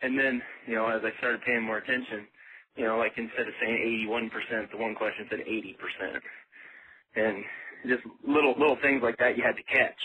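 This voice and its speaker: A man's voice: fairly low pitch, steady tone, a little fast, pushed and bright, slightly rough. A man giving a casual, plain-spoken, folksy phone interview as a contestant being asked about his experience. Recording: muffled phone line with background noise.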